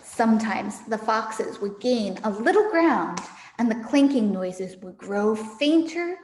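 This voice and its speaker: A woman reading a children's story aloud in an animated, dramatic storytelling way. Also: fairly high pitch, takes it slowly, a little hiss or hum. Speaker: storybook reader for a camp audience. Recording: echoey room with long reverb.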